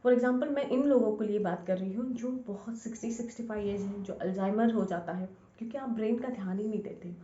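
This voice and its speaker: A woman's voice: medium pitch, a little fast, hardly any pauses, warm tone. A woman speaks as an instructor, coaching the listener directly in an informal way. She often repeats a phrase and drops in English words. The recording is dull and lo-fi, with plenty of room echo. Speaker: instructor, scientist. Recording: echoey room, dull lo-fi sound.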